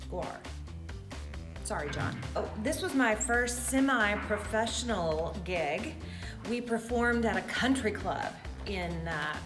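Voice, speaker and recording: A middle-aged woman, an actress, chatting casually and reminiscing in a theatrical, jokey manner. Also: mid-range voice. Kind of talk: casual reminiscing about past stage roles.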